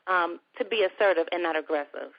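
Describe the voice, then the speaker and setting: A woman with a soft voice, chatting as a guest in a casual conversation. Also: on the low side, brisk. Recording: dull lo-fi recording.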